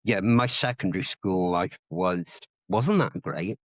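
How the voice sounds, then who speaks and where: A man's voice: low voice, measured pace, no room sound. A Welsh man who speaks Welsh natively but sounds English, chatting nervously on a podcast and hesitating with many ums. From Wales. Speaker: podcast co-host. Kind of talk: casual chat.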